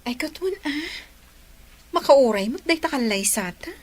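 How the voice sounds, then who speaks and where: A woman playing a devoted, patient young girlfriend in a radio drama, speaking with gentle persuasion. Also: sits high, expressive, a little slow. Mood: supportive, steadfast.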